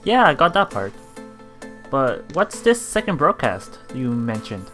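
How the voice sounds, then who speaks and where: A woman gives casual game commentary with a lively, varied pitch. She reads lines aloud and reacts with giggles and repeated words. Soft music plays behind her voice. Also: unhurried. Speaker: let's play streamer. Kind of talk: casual commentary while reading visual novel lines aloud. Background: visual novel game music.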